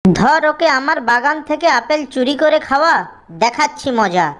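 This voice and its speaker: A man's voice: extremely high pitch, animated, normal speed, a bit bright, voice pushed up. A man voicing a grumpy male jackal uncle in a cartoon, with a scolding, indignant manner. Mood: angry. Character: grumpy male jackal uncle guarding his apple orchard.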